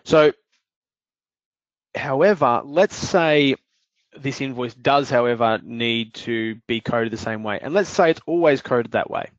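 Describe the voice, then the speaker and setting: A man presenting a screen-share software demo, explaining each step in a steady way with occasional fillers. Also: mid-range voice, unhurried, no echo, dull audio.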